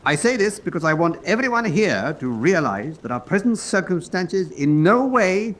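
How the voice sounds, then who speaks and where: An actor playing a stern senior officer presiding over a military tribunal, speaking formally and with deliberate command. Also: male voice, sits high, sing-song, a bit bright, slight background noise. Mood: stern, grave. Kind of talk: dramatic radio acting.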